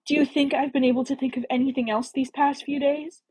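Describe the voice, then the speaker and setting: Woman acting a fiery, high-pitched Regency heroine. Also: steady tone, brisk, natural voice, low-quality recording. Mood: pleading, insistent. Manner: forceful, emotional, indignant. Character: proud, defiant, angry young woman in a Regency romance.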